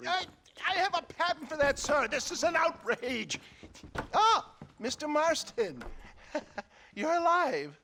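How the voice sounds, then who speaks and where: A man's voice: very high voice, big pitch swings, slow pace, long pauses, bright, forceful tone, slight background noise, heavily acted. An actor playing a flustered old male snake-oil fraud in a game cutscene, pompous, indignant and stammering. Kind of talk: dramatic video game cutscene acting.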